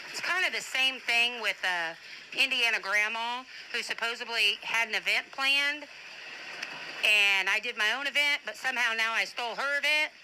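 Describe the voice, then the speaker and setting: A woman's voice: fairly high pitch, moderate pace, noisy background. A middle-aged woman speaking in an informal voice-message monologue, blunt, indignant and defensive, swearing freely. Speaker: advocate and online broadcaster.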